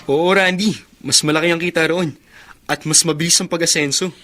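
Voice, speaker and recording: A man's voice: a little high, fairly even intonation, fairly quick, sharp tone. An actor in a Filipino radio drama playing an earnest, ambitious and determined young man. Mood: earnest, eager. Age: young adult.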